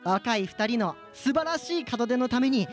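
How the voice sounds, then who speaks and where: A man playing a polite, cheeky real estate agent who jokes deadpan in a light comic radio drama. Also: very high voice, normal speed, soft background music.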